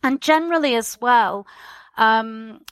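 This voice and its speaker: A woman's voice: fairly high pitch, slow, a bit bright. A middle-aged woman answers an interview question about her career in the arts. She speaks reflectively, with plenty of ums and uhs as she gathers her thoughts. The recording is dry and close, with no room echo. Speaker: arts organisation chief executive.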